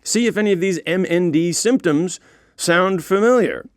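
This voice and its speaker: A man's voice: high-pitched, moderate pace, no echo, clean studio sound. A man with an American accent reading scripted comic narration as the author of an audiobook, wry and theatrical in his storytelling. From United States. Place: recording studio.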